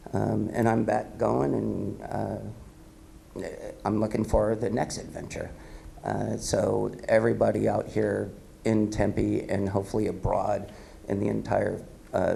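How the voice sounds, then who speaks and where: A man in his fifties with a raspy voice, giving a loose, upbeat keynote full of ums at a podium microphone in an event hall. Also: lowish voice, mellow, slight background noise. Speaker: keynote speaker. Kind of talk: casual speech at an awards event.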